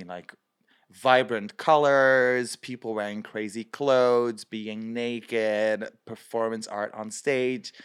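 A young man from Belgium, a drag performer, chatting in an interview with many fillers and lively quoted asides. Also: on the low side, smooth. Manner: chatty, lots of fillers, animated quoting. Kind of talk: casual interview.

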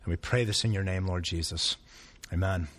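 A man's voice: low-pitched, steady tone, normal speed, fairly bright tone. A man preaching a sermon as pastor, earnest and repeating phrases for emphasis, with a sore throat and a fading voice.

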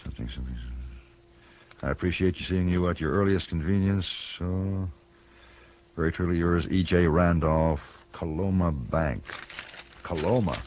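Man as a deep-voiced, suave American gunfighter. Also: normal speed, long pauses, darkish tone, close to an everyday voice. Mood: urbane, dry. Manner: urbane, dry, formal diction. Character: suave, worldly gunfighter for hire, dryly commanding. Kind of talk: 1950s radio western acting. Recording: muffled old radio broadcast.

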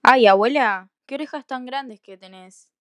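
A woman's voice: high-pitched, normal speed, no echo, lightly acted. Naive, polite storybook girl, played by a woman, radio play, dry recording. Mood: uneasy, puzzled. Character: innocent, trusting young girl of a fairy tale. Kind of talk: school radio play acting.

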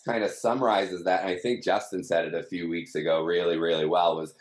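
A man's voice: low voice, steady tone, brisk. A man explaining things casually as a team member in a panel conversation, recorded with a roomy echo. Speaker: team member at an audio startup. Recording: roomy, echoing sound.

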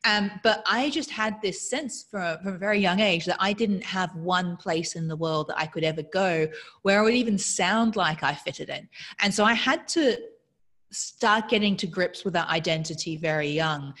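A woman with a slightly low voice talks through her interview answers at a thoughtful, easy pace. She grew up in Australia, and she speaks fluently while often slipping "like" into her sentences. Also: moderate pace, a bit bright. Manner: reflective, fluent, frequent "like" fillers. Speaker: guest speaker.

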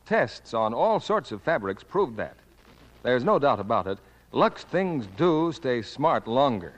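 A man's voice: medium pitch, sing-song, unhurried, big-room sound, dull audio. A man working as a commercial announcer, delivering a polished, persuasive 1940s radio advertisement.

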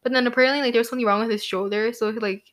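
A young woman from New York co-hosting a podcast, chatting casually and reacting with quick exclamations. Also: fairly high pitch. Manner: relaxed, reactive, frequent exclamations. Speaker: podcast co-host. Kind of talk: casual chat.

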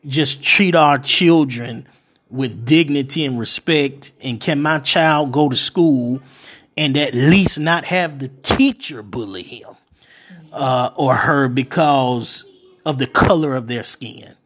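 Slow, halting man hosting an interview. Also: mid-range voice, a bit bright. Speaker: interview host.

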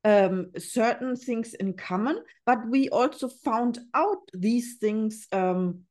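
A woman's voice: on the high side, takes it slowly, a little mellow. A woman in her forties, a German native speaking English, hosting an interview podcast in an earnest way, often repeating words as she thinks. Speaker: podcast host. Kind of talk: casual interview.

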